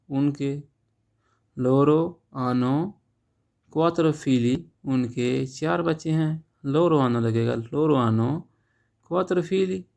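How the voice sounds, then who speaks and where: A man's voice: mid-range voice, creaky, darkish tone. A man teaching a language lesson, explaining patiently and checking in often with his listeners.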